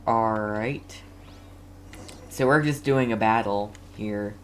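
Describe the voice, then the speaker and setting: A man's voice: on the low side, slow, fairly bright tone, a little hiss or hum. A man streaming a game, giving casual, laid-back commentary with dry remarks.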